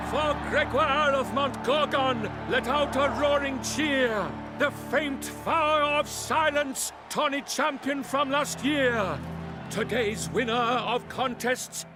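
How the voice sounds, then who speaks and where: Fantasy game herald: very high pitch, slow grand proclamations, pompous and theatrical, music behind. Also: male voice. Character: florid, pompous male herald bard proclaiming in rhyme. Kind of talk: theatrical video game voice acting.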